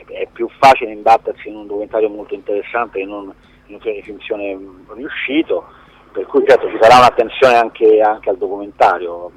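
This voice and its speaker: A man answering as an interview guest in a halting, conversational way, repeating words and letting phrases trail off. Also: lowish voice, low-quality recording. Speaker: film producer, interview guest.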